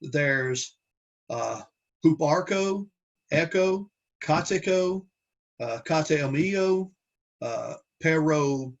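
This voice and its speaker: A man teaching a Bible class from slides, talking in a halting way with many restarts and fillers. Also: fairly high pitch, animated, muffled recording.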